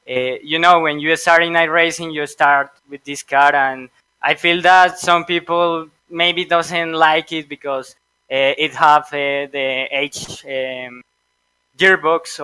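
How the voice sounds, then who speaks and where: Colombian man in a halting post-race interview. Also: a little high, bright, forceful tone, clean tone, no room sound, poor audio. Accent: Colombian Spanish. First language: Spanish. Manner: hesitant, halting with many fillers. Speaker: interviewed sim racer.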